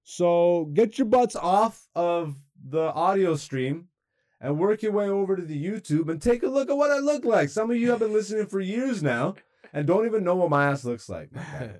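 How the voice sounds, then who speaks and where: Young guy podcast host, kinda high warm voice, cussing a lot, goes from hyped to mumbly. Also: moderate pace, no echo. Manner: loose, profane, animated, swings between loud hype and low mumbles. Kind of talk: casual podcast chat. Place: studio.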